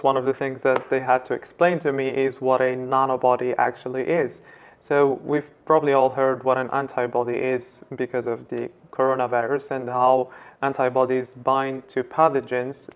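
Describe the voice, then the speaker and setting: A man hosting a science vlog, calmly explaining research to viewers. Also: medium pitch, clean tone, narrow, tinny audio. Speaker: vlog host.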